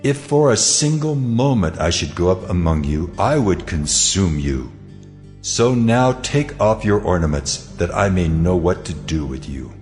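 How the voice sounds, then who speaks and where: Casting: almighty deity, very low, grave and commanding, cavernous reverb, for scripture audio drama. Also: male voice, expressive, darkish tone. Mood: stern, commanding. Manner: solemn, measured, authoritative. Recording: long cavernous reverb, music underneath. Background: cinematic music.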